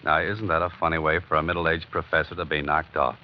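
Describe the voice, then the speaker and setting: An actor playing a cocky, nosy investigator, wry and breezy, in 1940s radio drama style. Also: male voice, extremely low pitch, level tone, fast. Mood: insinuating. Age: young adult. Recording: muffled, hissy old radio recording.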